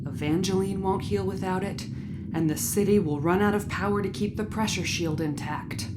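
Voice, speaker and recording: A woman's voice: medium pitch, normal speed, noisy background, lightly acted. An actress playing a determined, defiant woman leader in an audio drama, blunt and insistent. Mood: pleading, firm.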